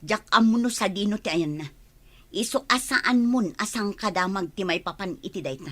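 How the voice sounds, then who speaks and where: A woman's voice: mid-range voice, expressive, normal speed, fairly bright tone. An actress playing a stern mother who is leaving her child with a relative, in a Filipino radio drama. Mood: firm, evasive.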